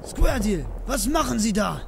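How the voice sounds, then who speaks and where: An actor playing an indignant, pompous accuser in an audio drama, shrill and outraged. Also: male voice, big pitch swings, measured pace, gravelly, full character voice. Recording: reverberant hall, faint noise.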